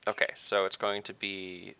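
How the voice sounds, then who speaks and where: Gravelly low-pitched man playing a tabletop game. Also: monotone, normal speed, bright, forceful tone, no echo. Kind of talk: casual online game chat. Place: online voice call. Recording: dull lo-fi mic.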